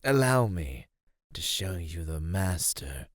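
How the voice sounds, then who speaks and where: A man playing an ancient cave monster in an ASMR roleplay, husky and low, speaking formally with predatory, teasing menace. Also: sing-song, takes it slowly, no room sound, studio quality, exaggerated voice. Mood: amused, imposing.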